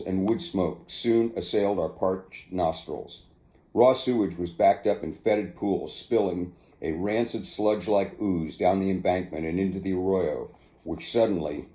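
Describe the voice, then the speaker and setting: An older man telling stories from his own memoir, with an unhurried, halting delivery. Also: deep voice, dark tone. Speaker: author narrating own memoir. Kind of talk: casual storytelling, then reading aloud from a book.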